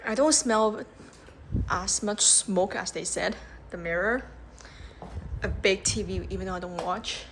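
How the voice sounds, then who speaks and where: A young woman vlogging casually as she tours a budget hotel, chatty and excitable, full of "like"s and giggles, filmed on a handheld phone. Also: mid-range voice, unhurried, long pauses. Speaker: travel vlogger. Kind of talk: casual travel vlog. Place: budget hotel room and entrance. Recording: echoey room with loud background noise.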